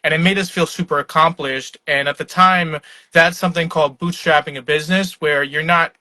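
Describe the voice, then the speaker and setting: A man's voice: fairly high pitch, fairly even intonation, brisk, sharp tone, no room sound. A young man from New York, a startup founder, talking casually and laid-back on an online video call, with plenty of fillers and laughs. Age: young adult. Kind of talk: casual conversational interview. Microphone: online live video call.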